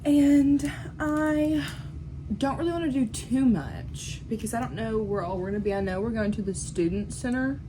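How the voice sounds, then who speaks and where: A woman's voice: a little high, moderate pace, background noise. An eighteen-year-old woman, talking casually to the camera in a home vlog, full of "like" and "um" fillers. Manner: chatty, lots of "like" and "um" fillers. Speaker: vlogger. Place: at home in a bedroom. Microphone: phone or camera on a tripod.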